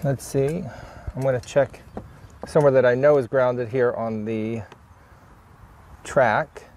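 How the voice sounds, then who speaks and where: A man's voice: medium pitch, steady tone, takes it slowly, a little mellow. Man thinking aloud during noisy hands-on work. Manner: unscripted, thinking aloud in short plain remarks. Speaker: DIY vlogger demonstrating a vehicle repair. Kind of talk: casual hands-on how-to narration while working.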